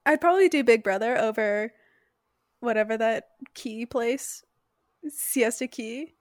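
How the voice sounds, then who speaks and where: A woman in her twenties co-hosting a podcast, playful and quick with asides. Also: a little high, no room sound, studio quality. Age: 20s. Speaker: podcast co-host. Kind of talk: casual chat.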